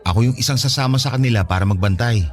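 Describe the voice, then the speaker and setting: An actor playing a patient, good-natured young man in a radio drama, gently teasing and persuasive, with a Filipino accent. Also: mid-range voice, very fast, natural voice. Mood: easygoing. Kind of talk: conversational radio drama acting. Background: music bed.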